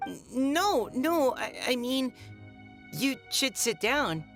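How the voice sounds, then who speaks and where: A woman's voice: sits high, big pitch swings, slow pace, soft background music, full character voice. An actress playing a shy, polite, flustered young woman in a video game, stammering and apologetic. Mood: flustered, awkward.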